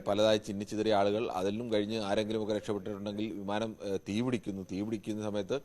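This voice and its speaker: A male news correspondent with a Malayali accent talks quickly in a very flat tone. He explains events off the cuff, circling back and repeating phrases in long, halting sentences. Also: lowish voice. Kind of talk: unscripted live news analysis.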